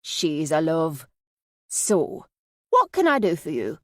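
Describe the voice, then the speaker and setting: A woman's voice: low voice, huge pitch swings, takes it slowly, a bit bright, no room sound, clean studio sound, full character voice. A woman voicing a cheery, affectionate Yorkshire woman in an audiobook, effusive and full of endearments. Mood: affectionate, chirpy. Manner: chirpy, effusive, uses endearments.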